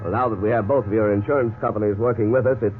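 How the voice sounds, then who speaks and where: An American actor playing a calm, methodical lawyer in a 1950s radio drama, explaining things in a measured way. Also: male voice, fairly low pitch, over loud music, natural voice. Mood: measured, businesslike. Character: calm, methodical male lawyer advising a client. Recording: tinny, noisy 1950s radio recording.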